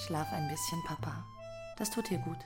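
A woman playing a quiet, devoted daughter of about thirty in a radio drama, soft and reserved. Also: low voice, normal speed, music in the background, close to an everyday voice. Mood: gentle, restrained.

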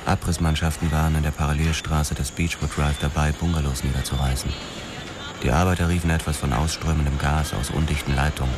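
A man's voice: rumbling low, fairly even intonation, a little fast, noisy background. An actor playing a hard-boiled police detective who narrates his own story in a radio play, brooding and terse. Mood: tense, grim. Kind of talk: scripted first-person radio play narration.

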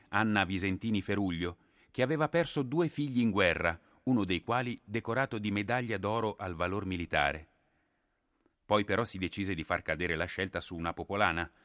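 A man narrating a radio play in a solemn, measured, scripted style. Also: lowish voice, fairly bright tone, dry recording.

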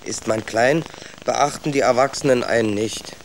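A man's voice: medium pitch, moderate pace, slight background noise, lightly acted, low-quality recording. A man playing a small, curious young rat in a children's radio play, naive and earnest in his way of talking. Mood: indignant. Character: small, curious, admiring young rat, eager to see the world.

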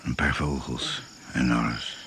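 A very deep, gravelly male narrator tells a jungle adventure radio play. He speaks in short, suspenseful phrases. Insects chirp through a very noisy recording. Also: steady tone, unhurried. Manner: terse, suspenseful, clipped phrases. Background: crickets and jungle insects.

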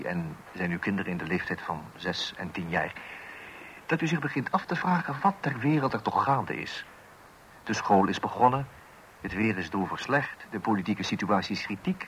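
Low-voiced wry male narrator. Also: fairly bright tone, slight background noise, low-quality recording. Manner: wry, addressing the listeners. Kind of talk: scripted narration.

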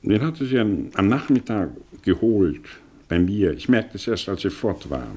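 An actor playing a cynical, eloquent middle-aged professor in a mid-century radio play, with a theatrical, sardonic delivery. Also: male voice, lowish voice, sing-song, normal speed, mellow, breathy edge. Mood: confiding, intense.

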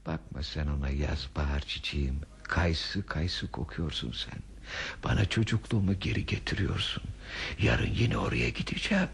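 A man's voice: extremely low pitch, moderate pace, creaky, a bit bright, a little hiss or hum, full character voice. An actor playing a sentimental, childlike old man in a radio play, speaking wistfully and tenderly in a theatrical way. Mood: gentle, wistful. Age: older.

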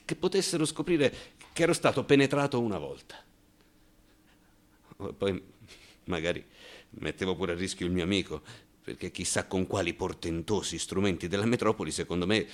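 Actor as deep-voiced anxious, ironic man. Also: natural voice. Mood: nervous, confiding. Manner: halting, rhetorical asides, swings from muttering to outbursts. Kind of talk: theatrical radio monologue.

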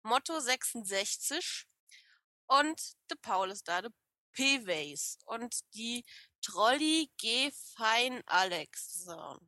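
A woman with a regional German dialect co-hosting a casual streamed podcast, playful and quick to laugh as she reads out chat names. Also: on the high side, big pitch swings, sharp tone, dry recording. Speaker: podcast co-host.

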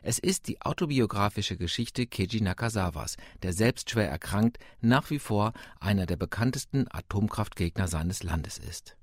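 A male announcer reads a scripted radio introduction. His voice is on the low side and the sound is dry, without room echo. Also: expressive, normal speed, few pauses.

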